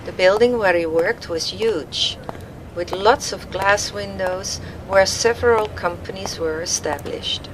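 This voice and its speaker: A woman reading scripted narration for an audio drama, with ambient sound effects behind her. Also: medium pitch. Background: faint noise and ambient sound effects.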